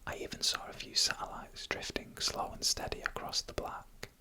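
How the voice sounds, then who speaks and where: A narrator telling scary stories in a breathy ASMR whisper, hushed and deliberate, in short phrases close to the microphone. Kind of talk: whispered ASMR horror storytelling, scripted narration. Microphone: close microphone made for headphone listening.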